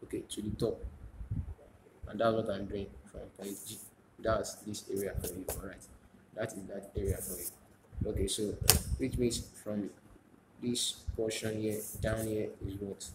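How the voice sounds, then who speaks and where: A man's voice: fairly low pitch, little pitch movement, unhurried. A man giving a step-by-step drawing demonstration as a teacher, repeating phrases and answering his own questions. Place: indoors at a drawing desk. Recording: echoey room, noisy, with clicks.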